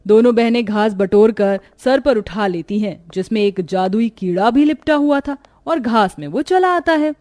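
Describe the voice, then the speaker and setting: Higher-voiced woman narrating, dry sound. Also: expressive, normal speed, few pauses, darkish tone, no room sound. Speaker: story narrator. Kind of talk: scripted narration of a cartoon story.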